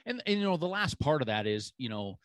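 A man's voice: fairly high pitch, sing-song, fast talker, dry recording, clean studio sound. A man hosting a hunting podcast, chatting casually and easygoing, with lots of "you know" fillers. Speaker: podcast host.

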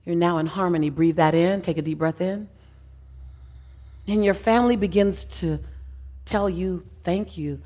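Woman leading a guided visualization. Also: lowish voice. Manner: soft and slow in the calm parts, rising and loud in the crowd's thanks.

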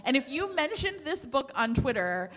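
A woman's voice: high voice, big pitch swings, normal speed, fairly bright tone. A woman chatting casually and playfully about romance novels as a podcast host, gushing and emphatic. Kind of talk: lively casual chat. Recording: echoey hall, background noise.

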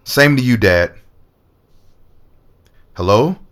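A man's voice: medium pitch, expressive, slow, a bit bright. An actor playing a proud, lovestruck male senator in an audio drama, talking in a casual, easygoing way. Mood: earnest.

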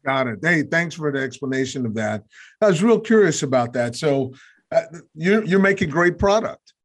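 An American man hosting a casual interview, affirming his guest and feeling his way haltingly into questions. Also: a little high, animated.

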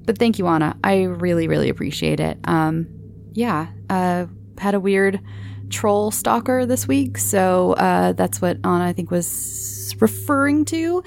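A woman's voice: lowish voice, unhurried, creaky, darkish tone, a little hiss or hum. A woman hosting a podcast, chatting casually and in a rambling, informal way as she answers listeners. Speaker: podcast host. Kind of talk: casual chat answering listener voicemails and questions.

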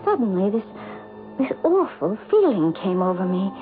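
An actress in a radio drama playing a sensitive, romantic wife who speaks with dramatic hesitations. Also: female voice, medium pitch, sing-song, normal speed, lightly acted. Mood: frightened. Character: sensitive, eager young-ish wife, nervous and romantic. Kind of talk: old-style radio drama acting and narration. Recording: tinny radio broadcast with music.

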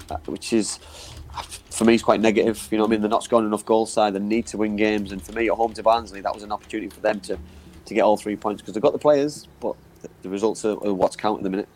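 A man's voice: on the low side, darkish tone, a little hiss or hum. A man giving football analysis on a chat show, often repeating words and saying you know what I mean. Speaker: football pundit.

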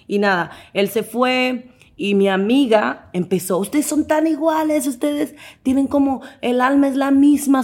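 Lively Dominican woman telling stories. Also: a little high. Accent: Dominican Spanish. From Dominican Republic. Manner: animated, laughs often, acts out quoted voices. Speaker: guest. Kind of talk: casual interview storytelling.

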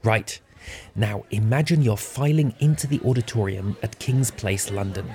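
A man's voice: on the low side, moderate pace, darkish tone, breathy edge, some chatter behind. A man hosting a podcast, reading a scripted introduction in a friendly way with emphasis on key phrases. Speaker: podcast host.

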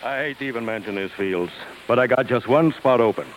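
An American actor playing a brisk, blunt booking agent in a 1950s radio drama, with a clipped, matter-of-fact delivery. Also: male voice, medium pitch, highs cut off, close to an everyday voice. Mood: businesslike. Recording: hissy 1950s radio broadcast recording.

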